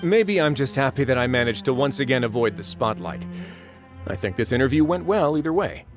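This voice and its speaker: An actor playing a composed, wry man in charge of a prison fortress, speaking in a measured, dryly teasing way for a video game. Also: medium pitch, animated, over music, close to an everyday voice. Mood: calm, dry. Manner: measured, dry, politely teasing.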